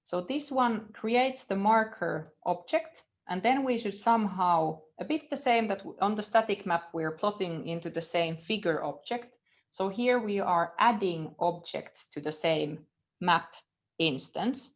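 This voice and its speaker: A woman's voice: mid-range voice, measured pace, muffled recording. A woman in her thirties with a Finnish accent, a university lecturer teaching a live coding lesson, thinking aloud with frequent fillers and trailing off as she reads code. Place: indoor room at a computer.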